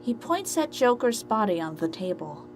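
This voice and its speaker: A woman's voice: medium pitch, big pitch swings, normal speed, creaky, background noise. A woman reading scripted narration for an amateur audio drama story.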